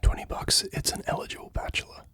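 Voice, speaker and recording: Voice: fast, sharp tone, natural voice. A reader whispering the lines of an eager, competitive young female detective.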